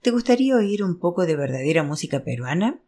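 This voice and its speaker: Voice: mid-range voice, big pitch swings, quick pace, warm tone. A narrator voicing a vain, courtly old womanizer in an audiobook novel, unctuous and gallant. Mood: smooth, courting.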